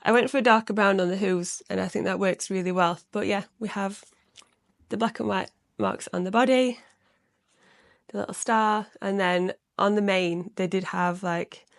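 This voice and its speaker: A young woman vlogging from home about her crochet work, chatty and rambling with a slightly croaky voice from being unwell. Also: medium pitch, fairly even intonation, normal speed, no echo, clean studio sound. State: slightly croaky from recent illness. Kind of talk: casual chatty vlog. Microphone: close microphone.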